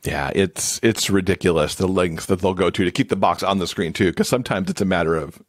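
A man's voice: low-pitched, a little fast, no echo, studio quality. A man speaking as an expert podcast guest, explaining things in casual interview talk.

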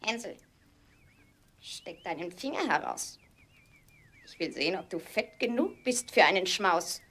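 A woman's voice: lowish voice, expressive, a little slow, a bit bright, a little hiss or hum. A woman playing a wicked, bossy old witch who scolds and schemes in rhyme in a children's fairy tale audio drama. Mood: suspicious.

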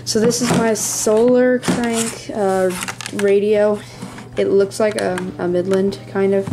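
A hobbyist giving a casual, rambling show-and-tell in a small room at home, speaking haltingly near a handheld camera. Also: medium pitch, flat delivery. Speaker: hobbyist presenting a radio collection. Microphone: handheld camera close by.

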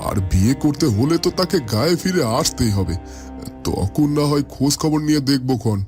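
A man's voice: fairly high pitch, big pitch swings, fairly quick, darkish tone, faint music behind. A man playing a kindly middle-aged village merchant and father in a cartoon, amiable and folksy. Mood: thoughtful. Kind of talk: Bengali cartoon dialogue.

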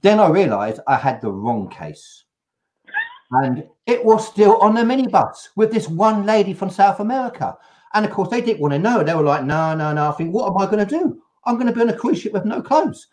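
An older man with a fairly high-pitched voice spins an animated, anecdotal story. He is hosting a casual video-call chat from home and talks loosely and warmly to his guests. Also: fairly quick, a little hiss or hum. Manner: lively anecdotal storytelling with laughs. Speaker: host.

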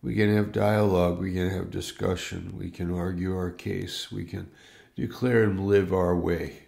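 A man in his fifties, a pastor giving a morning devotional from his home study, reading scripture and praying in a reflective way, pausing and restarting his phrases. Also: sits low, fairly even intonation, gravelly, darkish tone, roomy sound.

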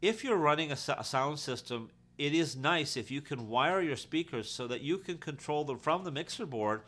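A man's voice: a little high, expressive, normal speed, fairly bright tone. A man giving an informal, practical how-to explanation in a conversational way, as a technician teaching his trade. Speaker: audio-visual technician and instructor.

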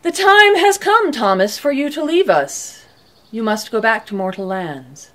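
A woman's voice: on the high side, sing-song, a little slow, fairly bright tone. A woman voicing a stately, commanding faerie queen in a storybook tale. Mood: grave.

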